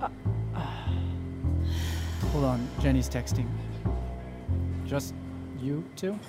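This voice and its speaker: Man acting awkward young guy, hesitant, deadpan, slow-paced, audio drama, loud music behind. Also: mid-range voice, lightly acted. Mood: distracted. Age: young adult. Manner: hesitant, halting.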